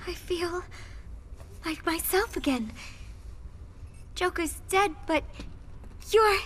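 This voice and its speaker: Brassy high-voiced female sidekick villain. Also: expressive, fairly bright tone, slight background noise, full character voice. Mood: tender. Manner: brassy, tough-talking, drawn-out. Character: brash young female villain sidekick, tough and lovesick.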